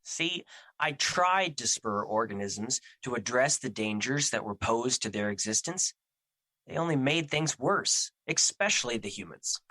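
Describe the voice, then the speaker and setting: A man's voice: medium pitch, animated, measured pace, bright, forceful tone, dry recording, low-quality recording. A man playing a gloomy, self-doubting embodiment of fear in an audio drama, speaking with formal, solemn, theatrical phrasing. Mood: regretful, despairing.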